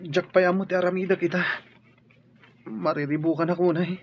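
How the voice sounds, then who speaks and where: An actor playing a young grieving student who sounds pleading and downcast in a radio drama. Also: male voice, high-pitched, steady tone, normal speed, a little hiss or hum. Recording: dull radio audio under music.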